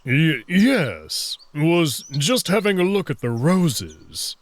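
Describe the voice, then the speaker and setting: A man playing an earnest, nervous wolf alien who speaks in formal, halting phrases. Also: fairly high pitch, big pitch swings.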